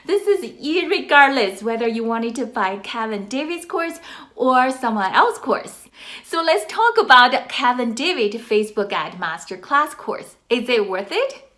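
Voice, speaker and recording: Woman w/ chinese accent hyping up a course she bought, kinda high voice, super emphatic. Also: big pitch swings, measured pace, fairly bright tone. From China. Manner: enthusiastic, emphatic, salesy. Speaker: online course reviewer. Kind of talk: casual product review to camera.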